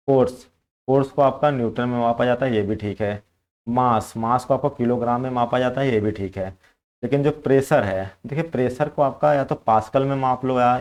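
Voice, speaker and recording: Indian man teaching, mid-pitched voice. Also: darkish tone, lo-fi sound. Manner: instructive, code-switches between Hindi and English. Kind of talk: exam question walkthrough, explanatory lecture.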